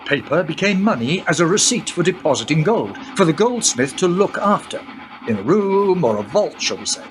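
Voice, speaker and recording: A man playing a knowing guide who explains history to a young woman in a radio play, in an explanatory, unhurried way. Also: on the high side, very animated, slight background noise. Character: wise older male guide through history, knowing. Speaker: narrator-guide.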